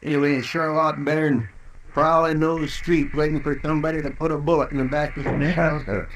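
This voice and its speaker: Man playing a higher-voiced folksy western sidekick. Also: flat delivery, close to an everyday voice. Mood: grumbling contentment. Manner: folksy, deferential, drawn-out phrasing. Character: folksy, loyal, complaining western deputy sidekick. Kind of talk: 1950s radio western acting. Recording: noisy old radio recording.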